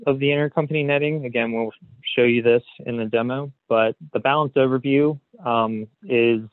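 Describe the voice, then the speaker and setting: A man presenting a software webinar as a consultant, explaining slides in a hesitant way padded with "you know". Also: medium pitch, steady tone, moderate pace. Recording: phone-like narrow band, roomy echo.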